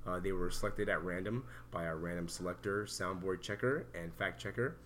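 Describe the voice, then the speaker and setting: Male podcast host, bright tone, playful and teasing. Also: low-pitched, a little fast, slight background noise. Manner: loud, joking, teasing, raps and sings bits. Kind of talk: casual podcast banter.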